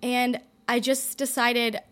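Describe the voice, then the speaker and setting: A young woman with a Canadian accent, a guest telling her career story in a casual podcast chat, quick and full of likes and ums. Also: fairly high pitch, flat delivery, fairly bright tone. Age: young adult. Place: indoor studio in a building.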